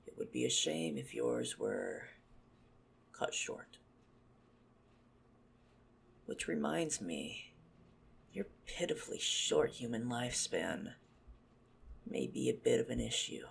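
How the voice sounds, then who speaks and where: A man playing a possessive, menacing, smug vampire stalker in an ASMR roleplay, haughty and teasing in a deliberate murmur. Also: medium pitch, wildly swooping pitch, gravelly, slightly rough, full character voice. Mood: possessive, gloating.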